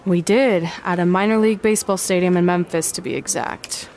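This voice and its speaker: A woman's voice: on the low side, fairly quick, a little mellow, lightly acted. A woman playing a bold, sarcastic, ambitious young wrestler in a video game cutscene, with a wry, confident delivery. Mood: wry banter. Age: young adult.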